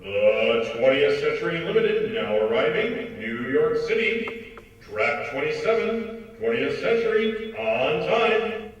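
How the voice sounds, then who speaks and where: Railway announcer, played by a man, booming, drawn-out swooping calls, distant dull recording. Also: very animated, heavy reverb, a little hiss or hum. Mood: official. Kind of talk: drawn-out station announcement in staged comedy.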